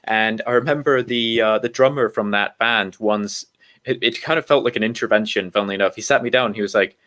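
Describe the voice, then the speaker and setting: A man from Iceland talking as an interview guest, relaxed and rambling with frequent ums and self-corrections. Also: on the low side, brisk. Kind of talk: casual interview talk.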